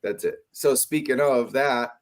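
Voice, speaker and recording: A man's voice: medium pitch, measured pace. A man hosting a casual talk show, teasing his co-hosts and often trailing off mid-thought. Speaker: podcast host. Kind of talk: casual chat.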